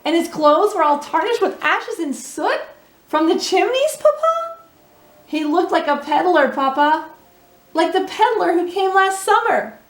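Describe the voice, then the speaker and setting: A woman's voice: extremely high pitch, very animated, measured pace. A woman hosting a children's storytime, reading expressively and speaking to young listeners with teacherly questions and asides. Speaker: children's storytime host and reader. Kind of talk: animated read-aloud with asides to young listeners. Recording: roomy echo with music underneath.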